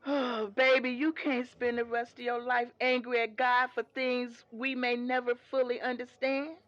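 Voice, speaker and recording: An actress playing a devout mother who softly counsels her son, calling him baby. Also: fairly high pitch, flat delivery, moderate pace, no echo, lo-fi sound, close to an everyday voice. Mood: pleading. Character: devout church mother, older woman, gently firm. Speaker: mother of the lead. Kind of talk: audio drama acting.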